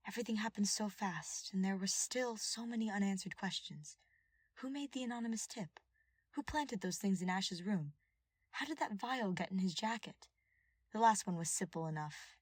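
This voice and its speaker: A woman reading scripted fiction aloud as an expressive audiobook narrator. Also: medium pitch, moderate pace, dry recording.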